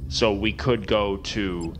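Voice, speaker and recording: A man's voice: fairly low pitch, slow pace, a bit bright, slight background noise. A man chatting casually as he leads his team through a video game. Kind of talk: casual gameplay chat. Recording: game music behind the voice.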